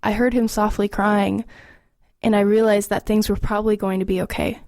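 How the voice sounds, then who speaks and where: A woman's voice: mid-range voice, little pitch movement, moderate pace, a little mellow, no echo, clean studio sound, close to an everyday voice. A young woman playing an earnest, anxious but resolute teenage girl who narrates and acts in an audio horror drama. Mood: subdued. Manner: earnest, urgent in dialogue, reflective in narration. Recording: rain and ambience behind the voice.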